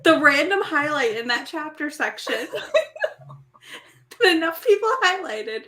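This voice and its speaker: A woman's voice: sits high, very animated, takes it slowly, bright, forceful tone. A woman giving amused, casual commentary as a participant in a group reading.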